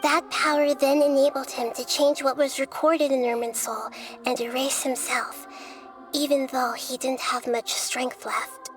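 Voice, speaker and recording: A high, girlish voice for a wise young sage in a video game. She speaks gently and with composure, in measured, articulate, scholarly phrases, over soft background music. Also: little pitch movement, poor audio. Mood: gently pondering. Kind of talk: scripted video game dialogue.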